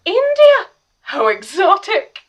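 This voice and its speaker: A woman playing an eager, devoted assistant in a period radio mystery, speaking in an earnest, proper and excitable way. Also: very high voice, takes it slowly, highs cut off, full character voice. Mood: eager, polite. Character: eager, devoted young female assistant telling her own tale. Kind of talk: dramatic first-person narration and period radio acting.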